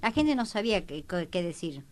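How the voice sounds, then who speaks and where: A woman's voice: on the low side, big pitch swings, normal speed, a little mellow. A woman talking in a rambling, repetitive way in a panel discussion. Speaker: panelist on a history programme.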